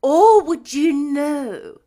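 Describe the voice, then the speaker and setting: A woman voicing a mournful male ghost bound in chains, booming and drawn out in a storybook reading. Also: animated, exaggerated voice. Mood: wailing, mournful. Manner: loud, drawn-out, lamenting delivery.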